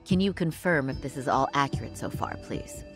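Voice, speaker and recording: A woman playing a composed, courteous professional in an English-dubbed crime game, formal and measured. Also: extremely low pitch, animated, music in the background. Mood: formal, questioning. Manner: polite, measured.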